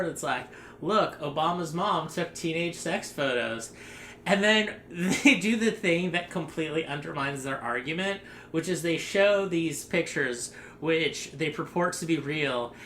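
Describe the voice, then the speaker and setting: A man's voice: a little high, sharp tone. A man hosting a casual group podcast, rambling and sarcastic, laughing often as he talks. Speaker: podcast host. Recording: echoey room, faint noise.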